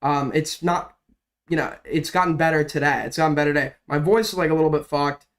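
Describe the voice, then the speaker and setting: A young man with a slightly raised pitch talks through drama commentary on his stream, his throat sore from illness so the voice cracks and he coughs. He rambles, swears freely and leans on fillers, shifting easily between quick and slow talk. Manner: rambling, profane, filler-heavy. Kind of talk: casual livestream commentary.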